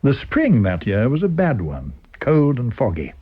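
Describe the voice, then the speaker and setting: British man, dramatic story narration, animated pitch, slightly raspy, dull radio sound. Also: unhurried. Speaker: story reader.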